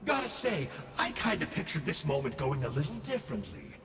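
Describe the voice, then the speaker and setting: An actor playing a smug, theatrical villain scholar in a video game, with a teasing, mock-dramatic drawl. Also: male voice, on the high side, huge pitch swings, fairly quick, creaky, fairly bright tone, roomy sound, big-room sound, soft background music, full character voice. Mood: mock wistful.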